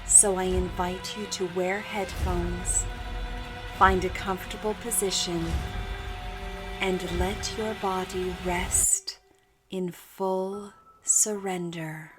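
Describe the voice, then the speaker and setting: A woman narrating a scripted guided meditation in a hushed, deliberate manner with long pauses. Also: fairly low pitch, steady tone, music in the background.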